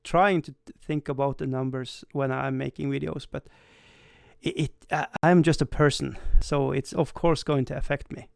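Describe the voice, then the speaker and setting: A man's voice: medium pitch, steady tone, moderate pace, gravelly, darkish tone, no echo, studio quality. A young man, an English speaker, hosting a casual livestream, rambling with many stammered restarts and self-deprecating laughs. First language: Swedish. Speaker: livestream host.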